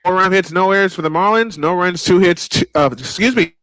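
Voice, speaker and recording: A man calling a baseball game live, unhurried, filling the pauses between pitches. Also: fairly bright tone, dry recording. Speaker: play-by-play baseball announcer. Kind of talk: live sports commentary.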